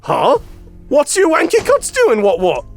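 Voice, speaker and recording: A man's voice: extremely high pitch, wildly swooping pitch, measured pace, gravelly, over music, exaggerated voice. A man playing a suave, breezy spy father posing as a psychologist in a cartoon parody.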